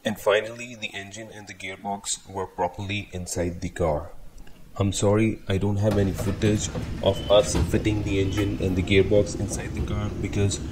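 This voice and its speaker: A man from India walking through a car engine swap in a relaxed explanatory vlog, speaking matter-of-factly with occasional fillers, partly recorded on a phone in a garage workshop. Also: deep voice, noisy background. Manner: slow, matter-of-fact walkthrough with fillers. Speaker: car build vlogger. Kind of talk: casual explanatory voice-over and on-site commentary.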